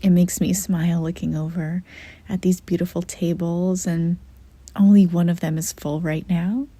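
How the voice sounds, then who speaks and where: A woman softly narrating a guided visualization meditation in a gentle, breathy half-whisper, pausing often mid-sentence. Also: fairly low pitch, little pitch movement, no room sound.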